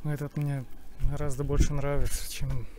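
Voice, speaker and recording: A man's voice: on the high side, normal speed, noisy background. Young man chatting casually in a truck cab. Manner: relaxed, chatty, explaining what he does. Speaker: truck driver vlogger. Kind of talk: casual vlog commentary while working. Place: outdoors by a truck and inside its cab.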